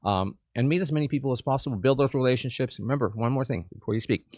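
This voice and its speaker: Man from Cuba, chamber president, eager and animated, repeats words, mid-pitched voice, dry close sound. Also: rapid-fire, no room sound. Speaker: chamber of commerce president, guest. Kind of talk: casual interview chat.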